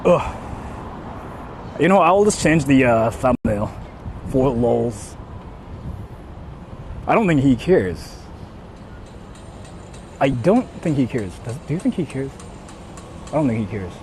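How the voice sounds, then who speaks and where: A man's voice: medium pitch, very animated, slow pace, pauses often, a little mellow, a little hiss or hum. A man chatting casually on a livestream, hesitant and repeating himself as he talks. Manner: hesitant, repetitive, mixes English and Japanese. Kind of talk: casual livestream chat with viewers.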